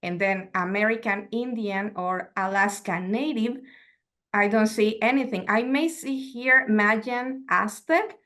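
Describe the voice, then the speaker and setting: A woman's voice: medium pitch, a bit bright. Woman giving a reflective lecture. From Mexico. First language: Spanish. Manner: measured, reflective, asks rhetorical questions. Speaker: university lecturer. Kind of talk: academic lecture.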